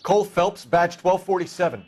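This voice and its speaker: An actor playing a stern, clipped police detective giving orders in a video game crime drama. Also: male voice, high voice, normal speed, darkish tone. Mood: businesslike.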